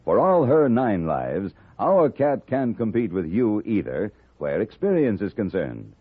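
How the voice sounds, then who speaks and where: On a dry, dull old radio recording, an American man announces a scripted network promo. His voice is lowish and husky, with a lively, swooping delivery. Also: a little slow, no echo.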